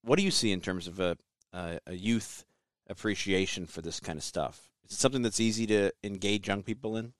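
A man's voice: on the low side, fairly quick, no room sound, studio quality. A man hosting a podcast, leading the talk with conversational questions. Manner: conversational interviewer, leads with questions. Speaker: podcast host.

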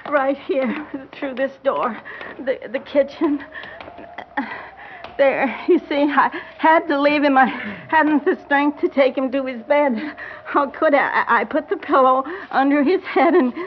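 An actress playing a bewildered, grieving elderly grandmother in a radio drama, halting and repeating herself in a quavering voice. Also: high-pitched. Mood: distraught. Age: older. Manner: halting, repeating words in distress. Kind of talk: old-time radio drama acting. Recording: dull, hissy classic radio recording.

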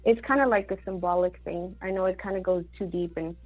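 A woman's voice: fairly low pitch, steady tone, a little fast, narrow, tinny audio. A woman from Mexico, a singer-songwriter, talking casually in an interview, with many fillers and Spanish words mixed in.

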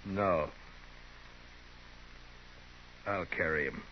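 An actor playing a grim, commanding western town marshal in a 1950s radio drama, speaking in terse, authoritative phrases. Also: male voice, deep voice, moderate pace, lightly acted. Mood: somber. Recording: noisy, muffled 1950s radio recording.

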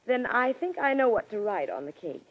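An American actress playing a polite, genteel aide to the president in a 1940s radio drama. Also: female voice, on the high side, very animated, fairly quick. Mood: polite, fond. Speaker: supporting actress. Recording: muffled old radio broadcast.

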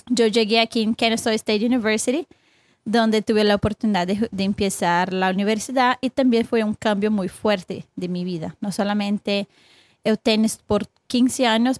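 A young woman from Brazil with a Brazilian Portuguese accent, a guest coach speaking Spanish as a second language, halting and searching for words. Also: mid-range voice, no room sound. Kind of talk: casual interview talk.

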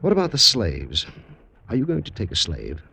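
A man's voice: lowish voice, huge pitch swings, normal speed, gravelly, sharp tone, breathy edge, full character voice. An actor playing a doubting Roman leather worker in his forties in a classic radio drama, with wry, hesitant delivery. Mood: doubtful. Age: 40s. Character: doubting, middle-aged Roman leather worker, an ordinary family man.

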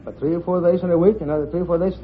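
Guy, quiz contestant, polite short answers, kinda high voice, muffled old radio. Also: fairly even intonation, rapid-fire. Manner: polite, brief, matter-of-fact. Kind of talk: plain interview answers. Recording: muffled vintage radio, faint noise.

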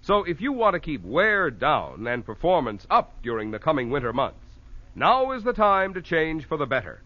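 A man's voice: on the high side, very animated, normal speed, no echo. A male announcer doing 1940s radio sponsor announcements in a polished, emphatic style. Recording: dull old 1940s radio recording.

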